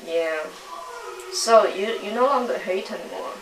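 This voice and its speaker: A woman's voice: medium pitch, measured pace. A young woman from China, speaking English as an in a reflective documentary interview, searching for her words. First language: Chinese. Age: young adult. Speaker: poet and singer. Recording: roomy, distant mic, faint noise.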